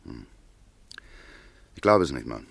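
An actor in a German radio play playing a proud, beleaguered country doctor with a gruff, defensive manner. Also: male voice, rumbling low, sing-song, takes it slowly, no echo, heavily acted. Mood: troubled.

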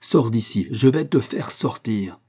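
Man as old policeman, storybook figure, hoarse, bossy, blustering, threatening, raised voice. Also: medium pitch, animated, a little slow. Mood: stern, angry. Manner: shouting, threatening.

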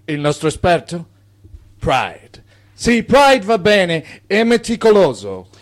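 An actor in a radio drama playing an officious, formal investigator auditing a financier. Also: male voice, high voice, very animated, takes it slowly, heavily acted.

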